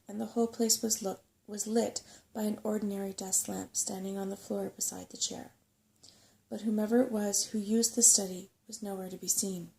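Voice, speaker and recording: A soft-spoken woman narrating a bedtime story in an ASMR style. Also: medium pitch, gravelly.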